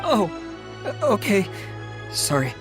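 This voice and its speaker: An awkward government clerk in a video game. He is nervous and literal, halting often and reciting details with fussy exactness. Music is plainly heard underneath. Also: high-pitched, very animated, heavily acted. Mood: sheepish. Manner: halting, hesitant, pedantically exact. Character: awkward, nervous, literal-minded male civil servant. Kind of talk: scripted video game voice acting. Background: background music.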